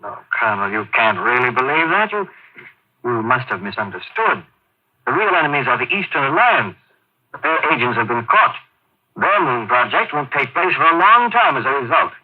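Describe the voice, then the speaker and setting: A man's voice: mid-range voice, sing-song, normal speed. A man playing a dutiful, earnest army aide with deferential, clipped military formality in an old-time radio drama. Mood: calm, reassuring. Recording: tinny, noisy old radio recording.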